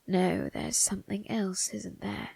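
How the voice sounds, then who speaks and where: A woman voicing an ancient male undertaker in an ASMR roleplay, sly and teasing, with a breathy, husky, creaking voice and formal phrasing. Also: mid-range voice, fairly even intonation, darkish tone, dry recording, full character voice. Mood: dry, suspicious. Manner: slow, formal, teasing delivery with drawn-out tag questions.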